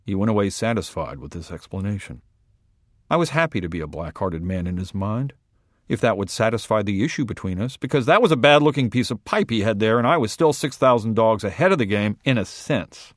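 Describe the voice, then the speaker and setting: A man reading a short story aloud in a wry, deadpan first-person voice. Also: on the low side, a little fast, no room sound, studio quality. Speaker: reader.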